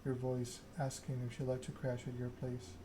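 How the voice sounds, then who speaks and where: A man narrating a story game aloud in ASMR style, reading in a measured way with occasional stumbles. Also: medium pitch, monotone, noisy background.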